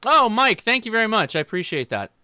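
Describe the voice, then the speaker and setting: Male American livestream host, crisp and bright delivery, informal and chatty, speaking straight to viewers. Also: sits high, sing-song, fast talker, dry recording, studio quality. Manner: informal, joking, addresses viewers directly. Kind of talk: casual livestream chat, answering viewer questions.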